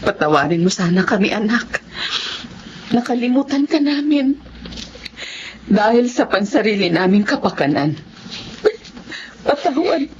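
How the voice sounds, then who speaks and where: Animated loving Filipina mother. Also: mid-range voice, a little slow, long pauses. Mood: remorseful. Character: loving, apologetic Filipina mother of grown children. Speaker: radio drama actress. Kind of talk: Filipino radio drama acting. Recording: dull audio with faint background noise.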